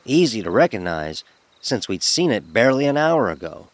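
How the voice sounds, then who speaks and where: A man telling a first-person horror story in a dramatic, expressive narrating style. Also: medium pitch, normal speed, no echo. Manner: expressive, acts out dialogue.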